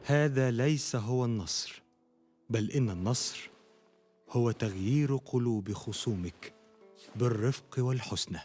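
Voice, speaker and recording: An actor playing a wise, magnanimous leader in a video game cutscene, earnest and sage in manner. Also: male voice, mid-range voice, fast talker, a little mellow, soft background music, natural voice. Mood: calm, admonishing.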